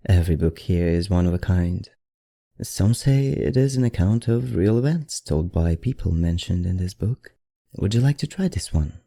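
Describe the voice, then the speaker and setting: A man voicing a suave, softly predatory vampire librarian in an intimate ASMR roleplay, with a courtly, teasing manner and an affected accent. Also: dry recording, heavily acted. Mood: inviting, playful. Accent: affected, non-native accent. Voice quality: low, dark, gravelly murmur.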